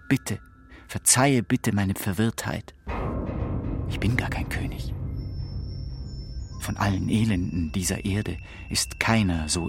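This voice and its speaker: A man's voice: fairly low pitch, vocal fry. An actor playing a despairing, confused king who pleads with God in a theatrical radio play monologue. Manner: theatrical, swinging between whispers and outcries. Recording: music behind the voice. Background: music.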